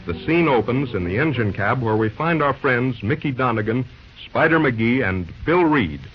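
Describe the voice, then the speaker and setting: A man's voice: mid-range voice, expressive, moderate pace, husky. A man announcing an old-time radio serial in scripted narration. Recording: muffled old radio recording, faint music.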